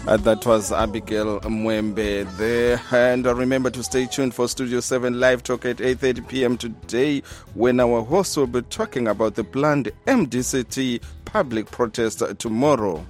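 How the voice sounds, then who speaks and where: A man hosting a radio interview, asking questions in a measured way with frequent uh fillers. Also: medium pitch, fairly even intonation, soft background music. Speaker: radio host.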